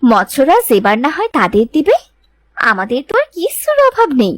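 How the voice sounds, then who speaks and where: A woman's voice: high voice, huge pitch swings, moderate pace, no room sound, exaggerated voice. A woman playing a bossy but good-hearted village wife in a cartoon folk tale, exclaiming, scolding and coaxing. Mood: earnest.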